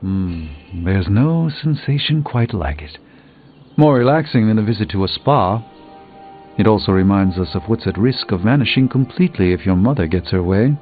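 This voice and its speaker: A man's voice: lowish voice, expressive, normal speed, phone-quality sound, soft background music, lightly acted. A man voicing an erudite, self-assured middle-aged sorcerer in a video game, speaking in precise, wordy, pompous phrases. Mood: musing, philosophical.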